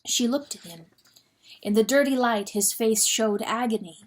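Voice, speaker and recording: A woman's voice: on the high side, lively intonation, moderate pace, rough recording. A woman reading an audiobook as a dramatic scripted narration. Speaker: audiobook reader.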